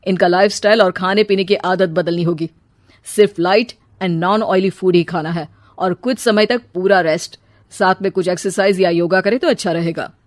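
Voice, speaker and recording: A woman's voice: on the low side, fairly even intonation, normal speed, no echo, studio quality, natural voice. A woman voicing a concerned, matter-of-fact doctor giving advice in a cartoon story.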